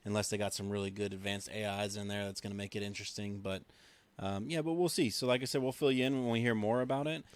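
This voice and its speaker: A man's voice: on the low side, fairly even intonation, quick pace, smooth, dry recording. A man co-hosting a podcast in casual, informal chat, laid-back and agreeable. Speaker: podcast co-host.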